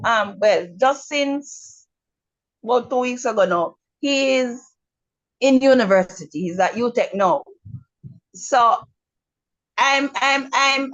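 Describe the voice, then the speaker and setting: Jamaican lady on a webinar call, slow and frank, lots of ums and restarts, a bit muffled. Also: on the high side. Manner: frank, repeats words, many ums. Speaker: webinar attendee. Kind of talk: casual remarks on a video call. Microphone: video call.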